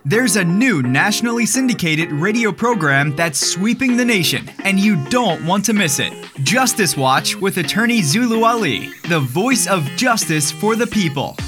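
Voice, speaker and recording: A male announcer reads a scripted radio promo in a raised register with a smooth, steady delivery. Music plays clearly beneath him. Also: sits high, moderate pace, hardly any pauses.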